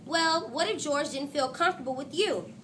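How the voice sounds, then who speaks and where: A woman voicing an earnest, fair-minded young schoolboy in a storybook, gently persuasive. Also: high-pitched, normal speed, slight background noise. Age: child. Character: earnest, fair-minded schoolboy who challenges his friend. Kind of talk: storybook character read aloud.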